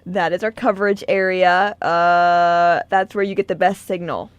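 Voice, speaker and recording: A woman's voice: lowish voice, steady tone, measured pace, clean tone, dry recording. A woman chatting casually and off script as a crew member in a radio studio.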